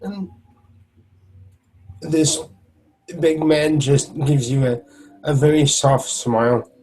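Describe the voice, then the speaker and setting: A man narrating as game master of a tabletop roleplay, halting with frequent restarts. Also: on the high side, a little mellow, rough recording.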